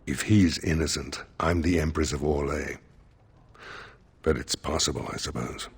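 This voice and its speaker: A stern male seneschal for a fantasy game. His voice is low and rough around the edges. He speaks formally and with measure, with a dry, wry edge. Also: normal speed, heavily acted. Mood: dry, weary. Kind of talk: video game voice acting.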